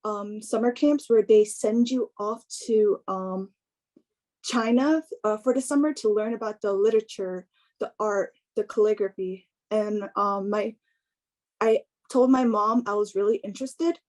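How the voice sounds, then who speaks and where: A young woman giving an informal personal talk in a hesitant, halting way, often filling in with "like". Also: mid-range voice, darkish tone. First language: English. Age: young adult.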